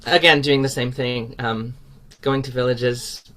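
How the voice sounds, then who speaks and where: A woman's voice: a bass voice, steady tone, unhurried, fairly bright tone. A woman from the United States whose first language is Ukrainian, answering interview questions animatedly and laughing often. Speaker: guest, singer.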